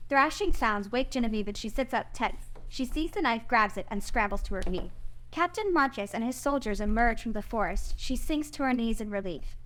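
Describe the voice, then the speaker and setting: A woman's voice: on the high side, expressive, normal speed, fairly bright tone, low-quality recording. A woman narrator reading stage directions in a scripted screenplay table read.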